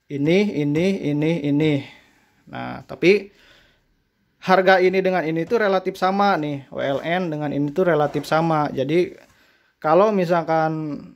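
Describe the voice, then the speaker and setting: Man, slightly high voice, halting casual shop talk, roomy echo. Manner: hesitant, many fillers, addresses viewers as friends. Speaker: shop owner reviewing walkie-talkies. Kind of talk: casual product talk to viewers. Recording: echoey room.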